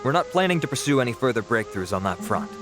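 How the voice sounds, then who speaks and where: A man voicing a formal, businesslike adult male security officer in a video game, speaking briskly. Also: mid-range voice, big pitch swings, over music, lightly acted. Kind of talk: game cutscene voice acting.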